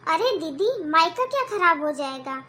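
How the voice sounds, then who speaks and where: A woman's voice: very high voice, very animated, normal speed, sharp tone, slight background noise, full character voice. A woman voicing an outspoken, indignant younger sister in a cartoon moral story, protesting and arguing. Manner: protesting, argumentative.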